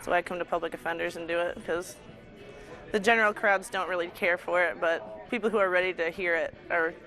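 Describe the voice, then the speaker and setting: A woman's voice: on the low side, steady tone, brisk, fairly bright tone. A woman in her thirties from Missouri, a comedian, speaking frankly and bluntly in an interview. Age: 30s. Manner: frank, blunt about crude humor. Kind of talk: casual interview.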